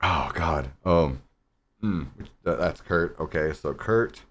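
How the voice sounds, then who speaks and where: A man with a deep voice who casually comments while playing a video game and thinks aloud in a self-conscious, laughing way. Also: slow, slightly rough. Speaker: game streamer.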